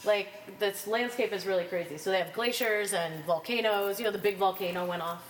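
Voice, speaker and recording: A woman in her thirties answering rapid questions in a casual group chat, giggly and chatty. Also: medium pitch. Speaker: interviewee, chemistry faculty. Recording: echoey room, faint background hum.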